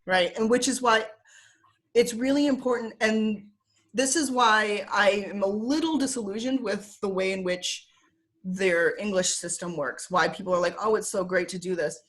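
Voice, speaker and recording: A woman's voice: medium pitch, moderate pace, a bit bright. Chatty woman with a Michigan accent. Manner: chatty with frequent fillers, laughs often. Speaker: guest. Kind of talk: casual interview talk. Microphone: video call.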